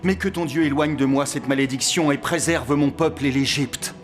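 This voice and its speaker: A man's voice: on the high side, steady tone, moderate pace, close to an everyday voice. Man playing a proud, regal Pharaoh. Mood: pleading. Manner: formal, regal. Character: proud male Pharaoh of Egypt, stubborn ruler. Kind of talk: dramatized Bible cartoon dialogue. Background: faint music.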